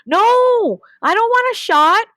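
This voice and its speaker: A woman's voice: very high voice, animated, slow, fairly bright tone, dry recording, studio quality, voice pushed up, exaggerated voice. A woman voicing a stubborn young storybook dog who protests in a whiny, emphatic way. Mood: whiny, upset.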